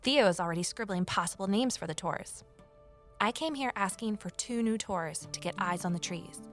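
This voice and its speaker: An actress playing a determined, persuasive amateur sleuth in an audio drama, earnest in both dialogue and first-person narration. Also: female voice, medium pitch, normal speed, soft background music, close to an everyday voice. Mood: reflective.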